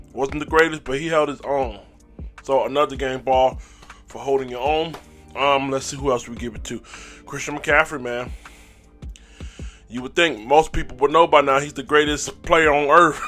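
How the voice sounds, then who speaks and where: Hoarse male fan-show host, casual, hyped, clears throat often, mid-pitch. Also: a bit bright. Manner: hype call-and-response, throat clearing. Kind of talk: casual sports fan recap.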